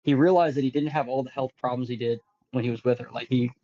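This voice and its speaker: A man's voice: medium pitch, fairly even intonation, fast talker, muffled recording. A man on a podcast panel telling stories in a blunt, casual, conversational way. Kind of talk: casual chat, telling anecdotes.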